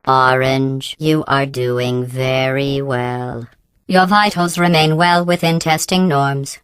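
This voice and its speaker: A woman playing a passive-aggressive artificial intelligence in a video game, deadpan and falsely polite, with a synthetic, processed robotic cadence. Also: clear, resonant tone, no room sound. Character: passive-aggressive female artificial intelligence running lab tests. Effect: robotic pitch-corrected voice processing. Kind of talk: scripted video game voice acting.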